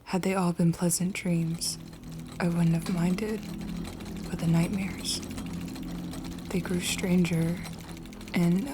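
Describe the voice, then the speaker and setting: A woman playing a troubled, weary male patient in a horror manga dub, hushed, earnest and haunted. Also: lowish voice, level tone, slow, long pauses, background noise.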